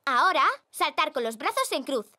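A woman voicing a bossy, energetic little girl coach in a children's cartoon, commanding and encouraging. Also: high voice, normal speed, very noisy.

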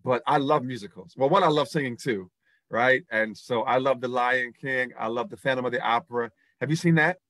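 A man's voice: medium pitch, fairly quick, fairly bright tone, no room sound. A middle-aged man hosting an interview over a video call, effusive and laughing, repeating his praise. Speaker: talk show host. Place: indoors in front of a backdrop.

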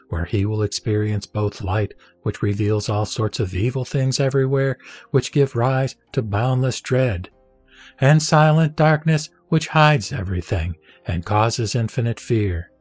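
Male narrator, medium pitch, for solemn scripture recitation; measured, emphatic delivery, close dry sound. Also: a little mellow, no room sound. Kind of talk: solemn dramatic scripted narration of a religious text. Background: background music.

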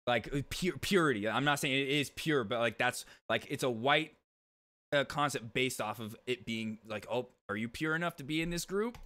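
A man's voice: medium pitch, normal speed, sharp tone, dry recording. A man in his twenties giving casual reaction commentary on a stream, close to the microphone. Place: small bedroom-style room, indoors.

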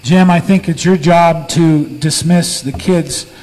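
A man's voice: a little high, steady tone, slow pace, a little mellow. A man, likely the pastor, calling someone up to the front in a loose, informal way. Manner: loose, calling out across the room. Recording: distant from the mic, long church reverb.

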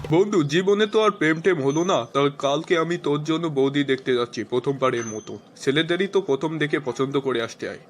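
High, teasing cartoon buddy, played by a man. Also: brisk, hardly any pauses, over music, lightly acted. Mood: joking. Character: wisecracking male cartoon friend and dad. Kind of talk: cartoon joke skit dialogue. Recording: dull, noisy lo-fi cartoon audio.

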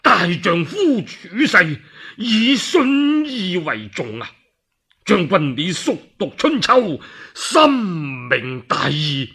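A Cantonese-speaking man voicing a cornered warlord chancellor in a storytelling radio play, boastful and wheedling, with theatrical drawn-out phrasing. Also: on the high side, fairly bright tone, breathy edge, full character voice.

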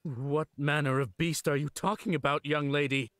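An actor playing a gallant knight in a video game, speaking in a formal, grandiose manner. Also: male voice, on the high side, fairly even intonation, normal speed, fairly bright tone, no echo, studio quality, close to an everyday voice. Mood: courtly. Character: gallant, pompous male knight. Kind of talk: video game character acting.

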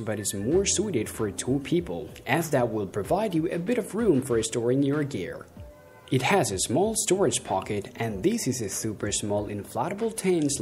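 A man's voice: medium pitch, darkish tone. A young man narrating a scripted product review voice-over, reading with uneven stress and occasional slips.

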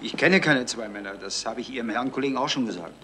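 A man's voice: mid-range voice, animated, quick pace, sharp tone. An actor playing a shifty, down-on-his-luck dog thief under questioning in a radio crime drama, evasive and self-justifying. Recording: slightly noisy 1970s radio recording.

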